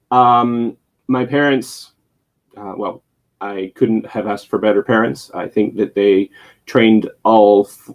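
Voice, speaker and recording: A man's voice: on the low side, low-quality recording. A young man who grew up in Spain, a missionary guest answering interview questions in a hesitant way, with frequent ums and restarts. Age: young adult. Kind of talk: interview conversation.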